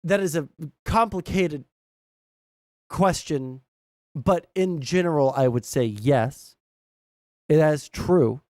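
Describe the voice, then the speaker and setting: A man's voice: on the high side, takes it slowly, long pauses, darkish tone, dry recording, studio quality. A young American man giving casual, rambling, blunt political commentary as a livestream host, swearing freely. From southern United States.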